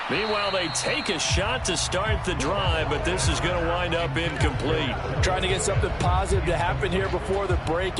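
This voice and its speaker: An actor playing an American TV football play-by-play announcer in a video game, calling the action in clipped, punchy phrases. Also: male voice, a little high, lightly acted. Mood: excited. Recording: loud game stadium noise behind voice.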